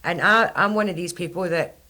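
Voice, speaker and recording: A woman in her thirties with a Scottish accent and a low husky voice, hosting a podcast and telling animated stories while swearing freely. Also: brisk. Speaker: podcast host. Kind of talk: casual chat.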